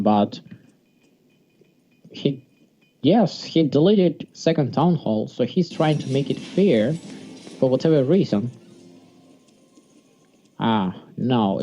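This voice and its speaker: Dull-sounding, mellow man commentating. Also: medium pitch. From Sweden. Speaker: game commentator. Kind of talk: casual live strategy game commentary. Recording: dull lo-fi mic, music behind. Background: music.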